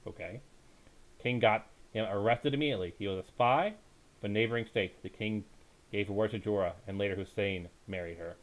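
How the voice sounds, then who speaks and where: A man's voice: fairly low pitch, rough recording. A man reading a bedtime story aloud in a dramatic storytelling style, stumbling now and then over the text. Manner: halting, stumbles and corrects himself while reading. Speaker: storyteller, reader.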